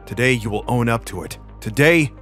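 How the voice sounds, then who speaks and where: An arrogant, brooding male genius from a superhero tale, acted out by a narrator. His speech is grave, formal and haughty, with wide swings in pitch over a music bed. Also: animated, fairly quick, a bit bright, lightly acted. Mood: solemn.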